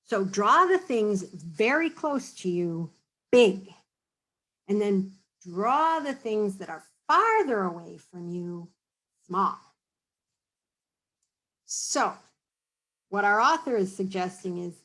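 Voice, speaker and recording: A woman's voice: medium pitch, a bit bright, rough recording. A woman reading a picture book aloud to children, then patiently explaining drawing tips and checking in with them often. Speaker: storybook reader and teacher.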